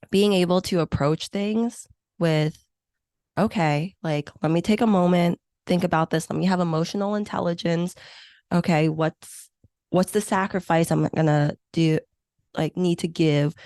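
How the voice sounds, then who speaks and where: A woman's voice: on the low side, darkish tone, smooth, no echo, clean studio sound. A woman in her thirties, a Hong Kong–born meeting planner, speaking as a guest in an interview with an enthusiastic, reflective manner. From born in Hong Kong, grew up in the U.S. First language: Chinese or Vietnamese. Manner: enthusiastic, reflective, uses many fillers. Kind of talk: conversational interview.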